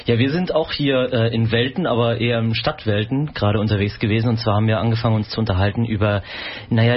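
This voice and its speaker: A man moderates a radio magazine, thoughtful and a little hesitant. His voice is husky. The recording sounds dull and lo-fi. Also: mid-range voice. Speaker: radio host. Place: radio studio.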